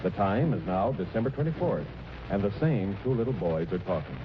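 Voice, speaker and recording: Animated man narrating vintage radio comedy. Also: lowish voice, fairly quick. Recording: noisy, muffled 1940s radio recording.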